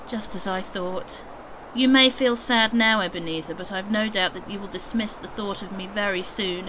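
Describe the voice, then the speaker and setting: A woman's voice: a little high, fairly bright tone, slight background noise, lightly acted, rough recording. A woman playing an earnest, sorrowful young fiancée in an audio drama, speaking formally.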